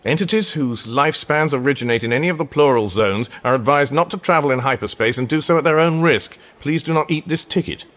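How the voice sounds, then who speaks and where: A man's voice: a little high, brisk, hardly any pauses, fairly bright tone, close to an everyday voice. An actor playing a flustered, polite English everyman stranded in space, British accent, hesitant and exasperated, in a radio comedy drama. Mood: flustered, downcast.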